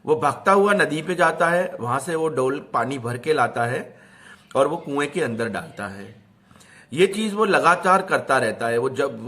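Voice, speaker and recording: A man's voice: mid-range voice, sharp tone. A man telling moral stories in an informal, motivational style, explaining things and repeating phrases for emphasis. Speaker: storyteller.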